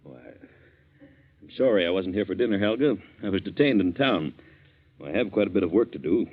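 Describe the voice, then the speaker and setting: A man's voice: deep voice, expressive, a little fast. An actor playing a wealthy, stern but kindly businessman in a 1940s radio drama, speaking in an emphatic, formal way. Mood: polite. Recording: muffled vintage 1940s radio recording.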